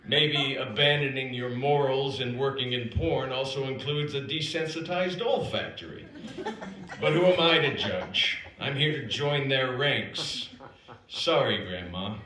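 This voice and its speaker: A man's voice: mid-range voice, bright, forceful tone. An American man performing a scripted comic personal story live, with a dramatic, wry, self-deprecating delivery. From United States, South Dakota. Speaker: storyteller performing own written piece. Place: indoor performance space at an acting institute. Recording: roomy, echoing live stage recording.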